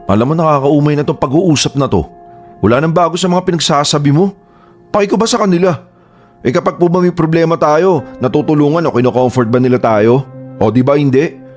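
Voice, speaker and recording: A man's voice: on the high side, brisk, darkish tone, natural voice. Man playing a hurt young son. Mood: fed up. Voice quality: emotional, pleading. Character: hurt young adult son standing up to his mother. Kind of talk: Tagalog radio drama acting. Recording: faint background music.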